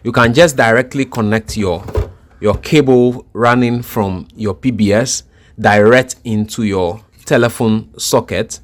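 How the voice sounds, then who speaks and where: A man's voice: mid-range voice, a little slow. A man giving an unscripted, explanatory tech tutorial as an instructor, methodical and repeating his points for emphasis.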